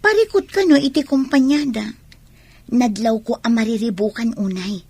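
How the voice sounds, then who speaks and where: A confiding Ilocano woman in a family radio drama, acted by a woman. Her voice sits fairly high and is extremely breathy and husky. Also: normal speed, a little mellow. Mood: concerned.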